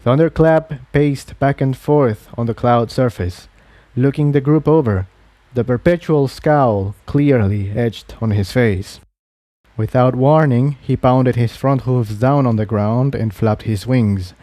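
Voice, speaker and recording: A man's voice: mid-range voice, slow, warm tone, dry recording. A man reading a fantasy adventure story aloud as a scripted narrator. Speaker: narrator, fan fiction reader.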